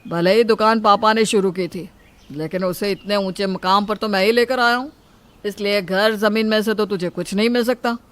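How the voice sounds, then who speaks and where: A woman's voice: mid-range voice, fairly even intonation, moderate pace, close to an everyday voice. A woman voicing a boastful, dismissive elder brother in a family story.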